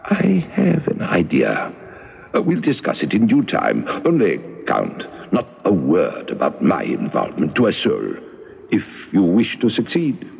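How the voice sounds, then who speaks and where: Gravelly, sly police inspector. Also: male voice, low voice, wildly swooping pitch, a little slow, heavily acted. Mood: secretive. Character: sly, guarded male police inspector, quietly scheming. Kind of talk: old radio drama acting. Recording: reverberant, narrow lo-fi 1970s radio broadcast.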